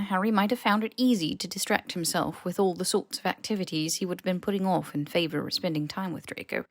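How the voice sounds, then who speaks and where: A woman reading fiction as a scripted audiobook narration, in an expressive, wry storytelling manner. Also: fairly low pitch, gravelly, no room sound, studio quality. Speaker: podfic narrator.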